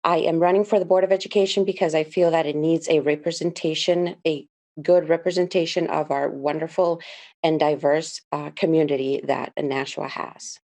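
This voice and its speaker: A woman's voice: sits low, fairly even intonation, normal speed, a little mellow. A woman in her thirties from the Dominican Republic, giving an earnest opening statement as a school board candidate, with frequent fillers.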